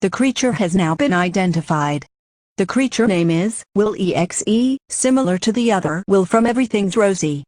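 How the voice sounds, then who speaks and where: A woman's voice: medium pitch, expressive, normal speed, a little mellow, no room sound, natural voice. A synthetic woman's voice as an emergency alert announcer, reading a scripted warning in a formal, impersonal manner.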